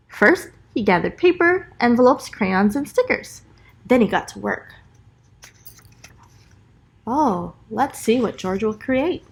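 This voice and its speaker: A high-pitched female voice reads a picture book to young children as a teacher would. The delivery is expressive and animated, and she breaks off for encouraging asides to the listeners. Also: slightly rough. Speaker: special education teacher reading aloud. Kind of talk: expressive children's storybook read-aloud with asides.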